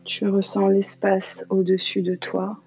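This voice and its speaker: Slow, mellow woman guiding meditation. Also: mid-range voice. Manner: calm, soothing instruction with long pauses. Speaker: yoga nidra instructor. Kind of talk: guided meditation. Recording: slightly dull lo-fi sound, music behind. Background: music.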